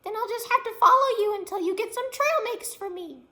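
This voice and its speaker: A woman voicing a tiny, pushy, hungry talking chipmunk in a small squeaky voice for a children's story. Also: a little fast, sharp tone. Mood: insistent. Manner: insistent, exclamatory.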